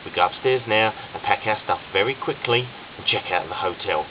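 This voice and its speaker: Brief: forced-calm, brisk young man, male narrator. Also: lowish voice, dull audio, noisy background, natural voice. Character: man trying to hide his panic.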